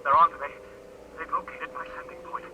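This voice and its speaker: A man's voice: a little high, little pitch movement, brisk, background noise. An actor playing a desperate, out-of-breath male scientist calling Earth over a faint radio link from the moon, in 1950s radio drama style. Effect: distant radio transmission. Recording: muffled old radio broadcast.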